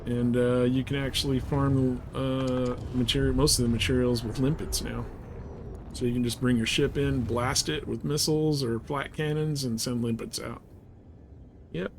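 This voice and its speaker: A man's voice: medium pitch, level tone, moderate pace, noisy background. A man livestreaming a game, rambling casually and slangily as he plays and explains things. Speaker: game streamer. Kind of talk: casual live gameplay chat and commentary.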